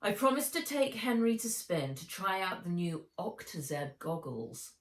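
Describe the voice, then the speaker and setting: A woman's voice: fairly low pitch, sing-song, measured pace, far from the mic. A woman voicing a troubled but determined young girl heroine, earnest and questioning, in a storybook reading. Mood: matter-of-fact. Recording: echoey room.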